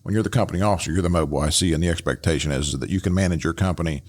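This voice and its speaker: A man's voice: sits low, rapid-fire, darkish tone. A man co-hosting a podcast, talking through an analysis casually and emphatically, repeating phrases for stress. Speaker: podcast co-host and fire service trainer.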